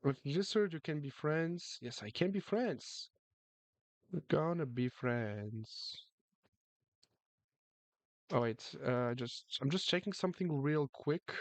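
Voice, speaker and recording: A man's voice: a little high, lively intonation, slow, pauses often, no echo. Chatty male streamer. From France. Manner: chatty, repeats words, reads chat aloud. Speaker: chess streamer. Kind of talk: casual live-stream chat.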